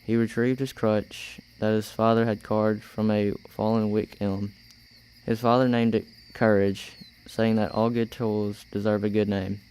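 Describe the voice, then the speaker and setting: Low, even-voiced young male narrator. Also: clean tone, poor audio. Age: teenager or young adult. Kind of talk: scripted story narration.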